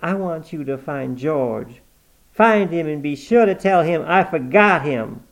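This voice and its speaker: A man reading aloud, voicing a sharp-tongued, stubborn old woman on her deathbed who scolds and commands. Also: mid-range voice, expressive, measured pace, voice pushed up. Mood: pleading. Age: 80s.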